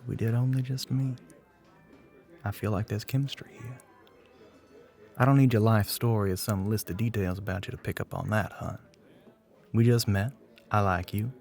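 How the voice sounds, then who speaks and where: Man acting a gravelly, flirty Southern US bartender. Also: lowish voice, darkish tone. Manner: drawling, smooth-talking, pet names, often breathy near-whisper. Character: smug, flirty, confident middle-aged Southern male bartender. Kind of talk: intimate ASMR roleplay.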